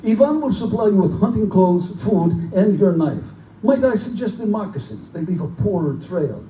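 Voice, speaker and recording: A man's voice: high voice, very animated, moderate pace, mellow. A man playing a genteel, pompous general who hunts men for sport, in a live theatrical horror drama. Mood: gleeful, courtly. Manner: urbane, pompous, condescending. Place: live performance in a bookstore. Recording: distant, echoey live room recording with noise.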